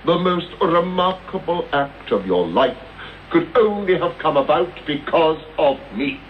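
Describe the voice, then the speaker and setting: An actor with a British accent playing a proud, analytical captive detective in a formal, imperious radio drama style. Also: male voice, on the high side, expressive, measured pace. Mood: proud, insistent. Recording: dull, noisy old radio broadcast recording.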